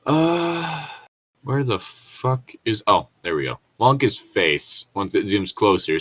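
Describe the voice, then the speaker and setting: A man's voice: sits low, sharp tone. A man co-hosting a story read-along, moving between reading aloud and irreverent, sweary, digressive joking asides. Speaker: co-host reading and commenting on stories.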